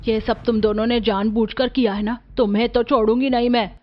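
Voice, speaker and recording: Woman playing a harsh high-voiced scolding aunt. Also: fairly even intonation, a little fast, highs cut off, slight background noise, lightly acted. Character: harsh scolding middle-aged aunt, doting on her son.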